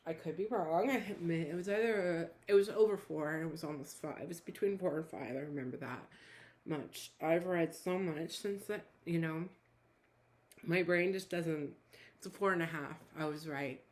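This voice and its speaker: Hoarse woman chatting about a book. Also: deep voice, normal speed, a little mellow. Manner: chatty, rambling, with many fillers and restarts. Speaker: book reviewer. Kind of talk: casual book review chat.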